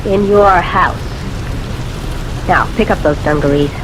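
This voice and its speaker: An actress playing a domineering houseguest in a radio drama, scolding and giving orders. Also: female voice, fairly low pitch, slow pace, background noise. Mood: bossy, scolding. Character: bossy, swaggering woman houseguest who gives orders.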